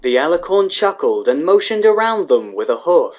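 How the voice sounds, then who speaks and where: A man's voice: fairly high pitch, very animated, moderate pace, dull audio. A man reading scripted fantasy fiction aloud as the narrator of a story.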